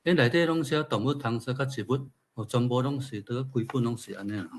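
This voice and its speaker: A man's voice: medium pitch, fairly quick, slight background noise. A man with a Taiwanese accent, an author reading his own fiction aloud and adding informal remarks. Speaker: featured speaker, writer.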